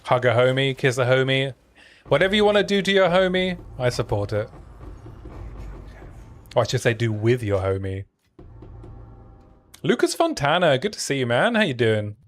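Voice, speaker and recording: A young man with a soft, soothing voice fit for bedtime stories. He talks easily and casually, mid-pitched, breaking off from play to answer his chat. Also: moderate pace, pauses often. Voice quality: soothing sleep-story voice. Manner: relaxed, chatty, answering chat between plays. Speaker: game streamer. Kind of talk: casual live-stream commentary while playing.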